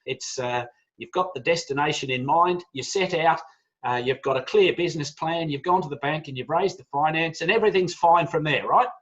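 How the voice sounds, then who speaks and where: A man's voice: fairly high pitch, brisk, fairly bright tone. A middle-aged man with an Australian accent, giving a loose, informal business talk on a video call, full of fillers and friendly asides. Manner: chatty, many "uh" fillers, friendly asides to the audience. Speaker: business speaker. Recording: dull lo-fi video call audio.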